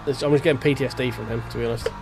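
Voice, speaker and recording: A man with a stuffy, blocked-up nose talks his way through a sim race, half to himself and half to his chat. His voice sits in an ordinary middle pitch. Game music and engine noise play clearly behind him. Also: steady tone, rapid-fire. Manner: chatty, mutters to himself while driving. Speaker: sim racing streamer. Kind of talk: live gameplay commentary. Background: game music and car engine sounds.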